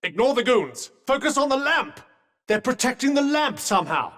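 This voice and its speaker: A man's voice: a little high, big pitch swings, moderate pace. An actor voicing a sentient magical cuff in a video game, a dry, witty and protective male companion with a British accent and a formal, well-spoken, advising manner. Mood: urgent. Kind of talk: scripted game dialogue. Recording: long reverberant tail on voice.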